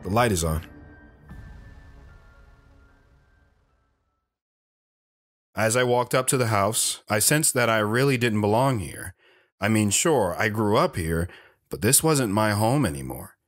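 A man's voice: fairly low pitch, expressive, normal speed. A man playing a reflective, stoic young soldier and father in an audio drama, with an understated delivery. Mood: wistful. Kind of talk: audio drama first-person narration and dialogue. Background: guitar music.

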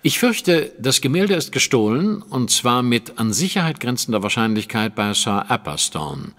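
An actor playing a shrewd, self-assured detective who explains things precisely in a German audio drama. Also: male voice, medium pitch, sing-song, moderate pace, a bit bright, in a large hall. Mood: assured, explaining. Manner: precise, lecturing, deductive.

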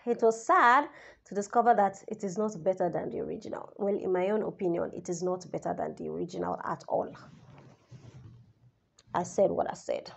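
A young woman reviewing a perfume in a casual vlog, slightly raspy as she recovers from the flu, talking chattily and straight to her viewers. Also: lowish voice, moderate pace, lo-fi sound. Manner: chatty and expressive, addresses viewers directly. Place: indoors, small room, seated. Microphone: camera in front, head-and-shoulders framing.

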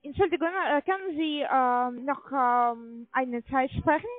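A woman with a foreign accent, an account manager giving a hesitant, halting sales pitch in broken sentences. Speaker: call center account manager. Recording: band-limited phone-call audio.

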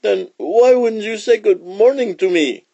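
A man's voice: high voice, lively intonation, unhurried, vocal fry, dark tone, dry recording, pitched above the performer's own voice, exaggerated voice. A man voicing a slithering python in a storybook, complaining and defensive. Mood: indignant. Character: slithering male python, whiny and aggrieved.

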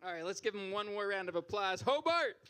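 A man's voice: high voice, lively intonation, brisk, slight background noise. Joking open mic host, man. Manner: joking, teasing, rising to loud calls to the stage. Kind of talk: stand-up style hosting banter. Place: small poetry venue stage with audience. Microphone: handheld mic.

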